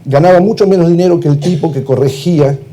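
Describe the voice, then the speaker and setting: Argentine man, mellow critical talk. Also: a little high, fast, a little hiss or hum. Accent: Argentine Spanish. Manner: critical, ironic, with frequent "eh" and "este" fillers. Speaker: translator and cultural manager. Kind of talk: prepared talk at a cultural event.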